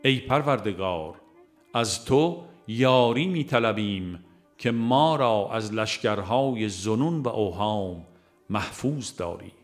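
A man reading a religious audiobook in a measured, formal, devotional way. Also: on the low side, expressive. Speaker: audiobook narrator. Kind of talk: scripted audiobook narration of a religious text. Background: music.

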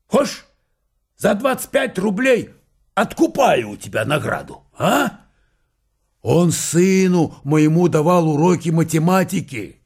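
An actor playing a folksy, sly provincial merchant in a radio drama, talking in a colloquial, insinuating way. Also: male voice, sits high, big pitch swings, slow pace, darkish tone, husky, full character voice. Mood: wheedling.

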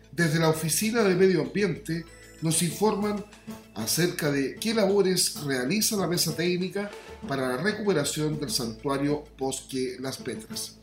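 A man with a Chilean accent hosting a radio newsmagazine, reading a prepared script. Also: a little high. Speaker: radio host. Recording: roomy voice over music. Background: music with plucked guitar.

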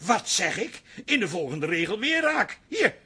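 An actor playing a pedantic, touchy son-in-law who corrects everyone, in a radio comedy. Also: male voice, high-pitched, sing-song, normal speed, pushed and bright, slight background noise, heavily acted. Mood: indignant lecturing. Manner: pedantic, indignant.

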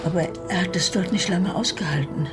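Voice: mid-range voice, natural voice. Actor as a sad devout wanderer, radio drama, solemn careful telling, music behind. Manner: solemn, measured storytelling. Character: sorrowful, devout adult searching for lost family. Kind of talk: German radio play acting. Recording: music bed under the voice.

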